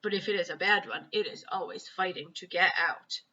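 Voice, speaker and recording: A woman voicing a huge, gentle, kindly male storybook giant who explains things in muddled, folksy grammar. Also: fairly low pitch, highs cut off. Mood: earnest. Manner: folksy, ungrammatical, unhurried explaining.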